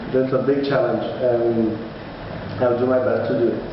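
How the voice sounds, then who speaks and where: A man's voice: medium pitch, very animated, slow. Hesitant man, echoey distant room. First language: Portuguese. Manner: hesitant, restarts often, laughs at himself. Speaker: vlogger. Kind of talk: casual study-update vlog. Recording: far-off echoey hall, dull lo-fi with noise.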